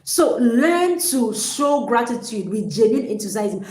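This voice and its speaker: Energetic woman coaching in an echoey room. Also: fairly high pitch, a little slow. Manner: energetic, emphatic, repeats herself. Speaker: relationship coach. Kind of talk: motivational teaching talk.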